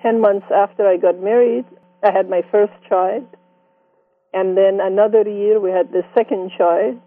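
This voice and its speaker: A woman in her sixties from Iran with a Persian accent, telling her life story in an interview with reflective, gently amused warmth. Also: medium pitch, no echo. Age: 60s. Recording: dry tinny phone-like sound.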